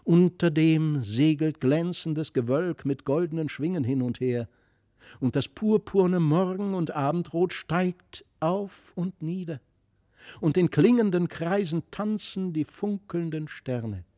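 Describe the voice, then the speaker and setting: A man voicing a mysterious, gentle fairy child in an earnest, lyrical way for a storybook reading. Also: a little high, slow pace, dry recording, studio quality, pitched above the performer's own voice. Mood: proud, wondering. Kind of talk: literary audiobook reading.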